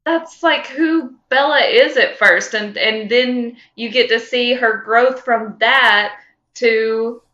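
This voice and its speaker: A woman's voice: a little high, takes it slowly, sharp tone, dull audio. A woman co-hosting a podcast in casual fan chat, speaking in an emphatic, opinionated way. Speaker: podcast co-host.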